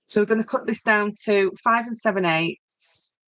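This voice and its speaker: A woman's voice: mid-range voice, normal speed, fairly bright tone, dry recording, poor audio. A woman with a Yorkshire accent hosting an informal craft demonstration, chatting to viewers and thinking aloud as she works. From Yorkshire, northern England. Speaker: craft tutorial host.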